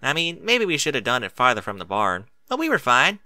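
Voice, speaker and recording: A man's voice: medium pitch, huge pitch swings, fairly quick, pushed and bright, no room sound, clean studio sound, pitched above the performer's own voice, exaggerated voice. A man voicing a young pony filly, eager, stubborn and cheeky, with folksy informal talk, in a story reading. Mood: defensive, eager.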